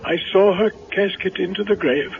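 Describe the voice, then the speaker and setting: An actor playing a fussy, kindly reverend who stammers and hedges, in 1970s radio drama style. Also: male voice, high-pitched, moderate pace, creaky. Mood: evasive, flustered. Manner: stammering, hedging, fussily precise. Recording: tinny vintage radio, faint noise.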